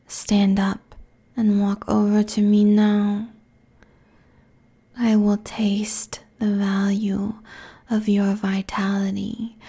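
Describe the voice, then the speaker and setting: Woman performing a seductive demon queen, ASMR roleplay, breathy husky whispering, very slow and deliberate, level restrained intonation, merciless, commanding, close-miked. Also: mid-range voice, warm tone, heavily acted. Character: seductive, merciless succubus demon queen draining mortal souls. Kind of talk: intimate ASMR roleplay, scripted monologue. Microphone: close binaural microphone.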